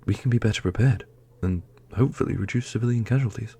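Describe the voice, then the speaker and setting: A man's voice: fairly low pitch, fast talker, creaky, dark tone, husky. A man playing an earnest, steadfast warrior prince in a fantasy audio roleplay, speaking with serious deliberation. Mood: strategic. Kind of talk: ASMR fantasy roleplay acting.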